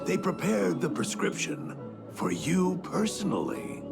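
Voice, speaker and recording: A man acting as a zealous cult disciple and former spearman in a video game, with a gravelly voice and deliberate, solemn, theatrical delivery. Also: sits high, very animated, exaggerated voice. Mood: measured, instructing. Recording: loud music under the voice.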